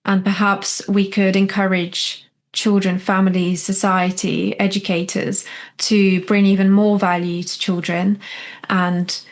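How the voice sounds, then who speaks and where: A woman hosts a podcast conversation and listens supportively, with frequent backchannels. Her pitch is middling and her delivery stays flat and even as she weighs ideas aloud. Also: a little slow. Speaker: podcast host. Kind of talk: casual conversation.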